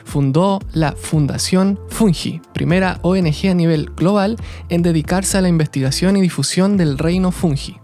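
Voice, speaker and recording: A woman co-hosting a podcast who reads a scripted introduction in a measured way. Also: fairly low pitch, mellow, faint music behind. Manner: measured, read-aloud delivery. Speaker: podcast co-host.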